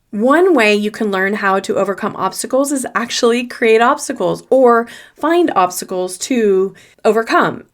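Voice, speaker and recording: A woman with a faint Southern US accent giving earnest, encouraging advice in a solo motivational podcast, repeating her key phrases. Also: mid-range voice. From Southern United States. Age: young adult or older. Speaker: podcast host and confidence coach.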